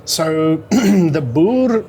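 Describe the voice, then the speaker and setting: A man from South Africa speaking English with an Afrikaans accent, unhurried and deliberate. He pauses and clears his throat between thoughts. A faint noise sits under the voice. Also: a little high, expressive, mellow. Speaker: interviewee. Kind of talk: measured interview answers.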